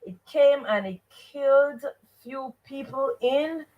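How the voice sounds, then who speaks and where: A woman with a Jamaican accent hosting an interactive read-aloud lesson, expressive and emphatic, putting questions to her listeners. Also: sits high, takes it slowly, phone-quality sound. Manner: expressive, emphatic, repeats rhetorical questions and stock phrases. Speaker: teacher and host of a live read-aloud show.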